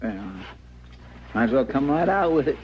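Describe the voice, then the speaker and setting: An actor playing a simple, kindly, easily flustered frontier deputy in a radio western, with a rural Western American accent and a hesitant, folksy, stammering way of talking. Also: male voice, medium pitch, animated, moderate pace, lightly acted. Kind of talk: 1950s radio western acting. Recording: muffled, noisy old radio recording.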